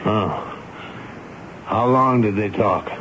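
A man's voice: on the low side, takes it slowly, a bit bright. An American man acting in a 1970s radio drama as an earnest, decisive army major with an authoritative, clipped way of questioning. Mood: probing. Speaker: actor narrating and playing the lead. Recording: noisy, muffled radio broadcast recording.

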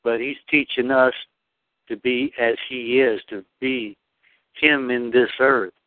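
A man speaking earnestly and devoutly about faith, with halting restarts. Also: medium pitch, fairly even intonation, no echo. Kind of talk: spiritual discussion. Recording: dry phone line.

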